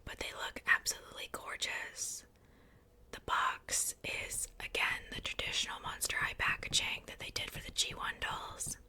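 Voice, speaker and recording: A woman hosting an ASMR unboxing in a breathy, unvoiced whisper, softly repeating words and pausing between phrases. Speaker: ASMR video host.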